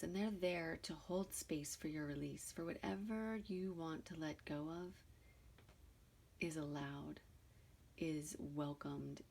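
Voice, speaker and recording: Slow, low-voiced woman guiding meditation. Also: creaky, a little mellow, husky. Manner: soothing, deliberate, with long pauses between short phrases. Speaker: guided meditation leader. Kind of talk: guided meditation.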